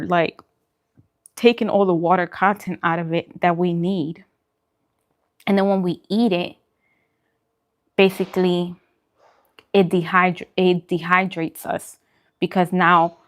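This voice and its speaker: A woman chatting casually as a vlog host, informal and conversational, often repeating phrases for emphasis. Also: lowish voice. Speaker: host of a food and vlog channel. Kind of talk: casual eating-show chat answering viewer questions.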